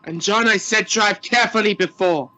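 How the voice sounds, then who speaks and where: A man's voice: high-pitched, moderate pace, pushed and bright, faint music behind, rough recording. An actor playing a bossy, nervous and exasperated expedition crewman in a sci-fi radio play.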